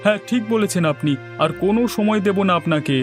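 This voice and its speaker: Man acting a high-pitched, threatening moneylender. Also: quick pace, soft background music, close to an everyday voice. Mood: stern, threatening. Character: stern, threatening moneylender merchant. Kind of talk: Bengali fairy-tale cartoon dubbing.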